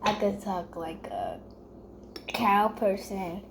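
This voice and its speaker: A young female voice in a casual chat with her mother. She speaks quite slowly and shyly, gives short answers and often giggles. There is faint noise in the background. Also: mid-range voice, sing-song, gravelly. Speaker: daughter answering questions.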